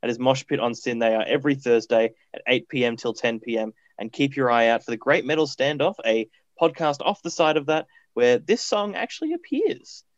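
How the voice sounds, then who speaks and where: A man's voice: mid-range voice, animated, a little fast, few pauses, no room sound, muffled recording. A man co-hosting a student radio show, chatty and upbeat as he introduces songs. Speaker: radio co-host. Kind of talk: casual radio chat, song introductions.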